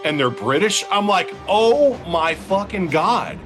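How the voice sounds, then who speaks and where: A man's voice: sits high, takes it slowly, music in the background. A man co-hosting a podcast, swearing freely and joking at his own expense while reviewing an action movie. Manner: profane, animated, self-mocking. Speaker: podcast co-host. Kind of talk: casual movie review chat.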